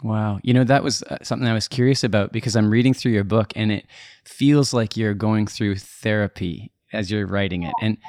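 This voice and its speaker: A man's voice: on the low side, fairly even intonation, a little fast, darkish tone, no room sound, clean studio sound. A man hosting an interview, asking encouraging questions and laughing easily. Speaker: interview host. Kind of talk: podcast interview.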